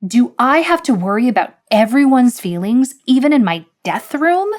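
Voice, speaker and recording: A cocky young man with a sarcastic, needling edge, as a woman reading a story puts him on. His voice leaps and dips as he complains and teases, unhurried. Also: on the low side, animated. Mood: griping.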